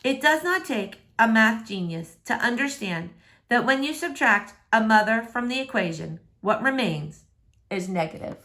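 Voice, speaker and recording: A woman's voice: on the high side, animated, normal speed, pushed and bright. Woman reading a verse novel aloud. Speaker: book reader.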